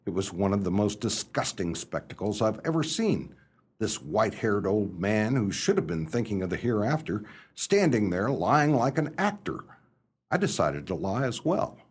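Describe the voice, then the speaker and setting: An actor playing a flustered upper-class young English gentleman in a radio play, hesitant and bumbling, narrating his own scrapes in old-fashioned slang. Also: fairly low pitch, very animated, normal speed, slightly rough. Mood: wry, exasperated. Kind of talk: radio play storytelling and dialogue.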